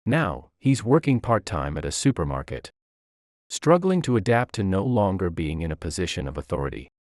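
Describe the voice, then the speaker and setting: A man's voice: deep voice, very animated, normal speed, darkish tone, dry recording, studio quality. A man reading a scripted first-person story as its narrator.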